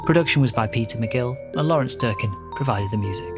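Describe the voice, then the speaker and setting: A man's voice: medium pitch, fast talker, loud music behind the voice. A man hosting a podcast, giving a polite, scripted sign-off. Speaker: podcast host. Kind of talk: podcast interview and outro.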